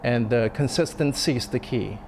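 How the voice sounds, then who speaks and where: A man in his thirties, a restaurant chef, speaking in a sit-down interview, thoughtful and halting with many fillers and restarts. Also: mid-range voice, darkish tone, a little hiss or hum. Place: dim restaurant dining room. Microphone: lapel mic.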